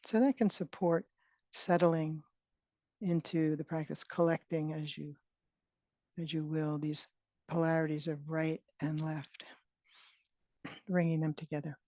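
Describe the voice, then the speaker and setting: A woman leading a guided meditation as a teacher, speaking in gentle, unhurried phrases with long pauses. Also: low voice, steady tone, no echo. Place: online retreat session, close dry sound. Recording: dull, band-limited online call audio.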